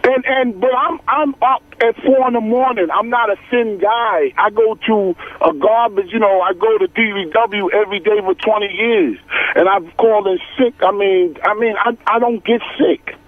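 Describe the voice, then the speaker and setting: A man's voice: sits high, steady tone, normal speed. A man calling a radio show by telephone, arguing casually and often restarting his sentences. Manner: repeats and restarts his questions. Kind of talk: casual phone-in opinion. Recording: thin, narrow telephone line.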